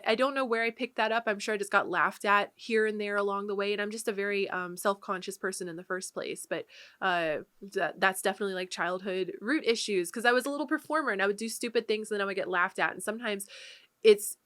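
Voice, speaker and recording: A woman's voice: mid-range voice, a little fast, bright, forceful tone. A woman cohosting a podcast, explaining ideas in earnest with many restarts and repeated words. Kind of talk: casual chat.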